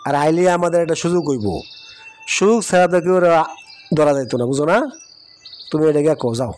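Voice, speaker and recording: A man's voice: fairly high pitch, fast talker, a little mellow, lightly acted. A man playing a stubborn, sceptical village uncle in a cartoon, with an eastern Bangladeshi accent and an argumentative, emphatic way of talking. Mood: firm, advising. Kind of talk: cartoon voice acting. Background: music.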